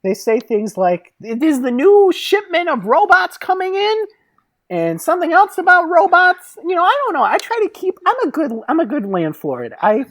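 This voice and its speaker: A woman's voice: very high voice, huge pitch swings, moderate pace, fairly bright tone, no room sound, studio quality, pitched above the performer's own voice, full character voice. A woman improvising a chatty, dim but well-meaning female landlord in a comedy scene, rambling and justifying herself in a literal-minded way. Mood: self-justifying.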